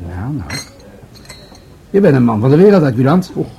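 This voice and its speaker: An actor playing a genial, unhurried police commissioner in a Dutch radio drama, musing and gently teasing as he talks. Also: mid-range voice, big pitch swings, creaky, breathy edge, muffled recording, heavily acted.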